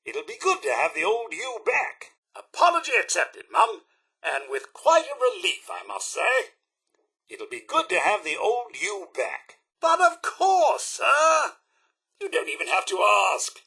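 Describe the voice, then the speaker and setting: A man's voice: sits high, huge pitch swings, a little slow, bright, forceful tone, husky, full character voice. A man voicing a prim, devoted, fretful robot butler in a video game, with a British accent and formal, polite, theatrical delivery. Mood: relieved, cheerful. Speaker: robot servant companion. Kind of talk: video game character dialogue.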